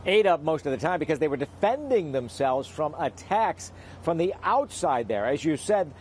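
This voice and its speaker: Animated male TV news reporter, higher-pitched. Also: moderate pace, slight background noise. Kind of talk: live news report with scripted narration.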